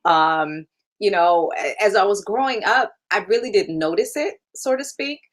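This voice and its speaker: Interview guest: woman, 30s, from Germany, first language German, candid and easygoing, laughs a lot, everyday mid pitch. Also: moderate pace. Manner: candid, laughs often, many fillers. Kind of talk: casual interview.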